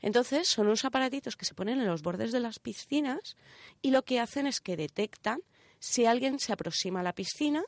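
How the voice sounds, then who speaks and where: A woman's voice: a little high, lively intonation, fairly quick, dry recording. A woman with an accent from Spain presenting a segment in casual radio chat, colloquial and often checking in with short tag questions.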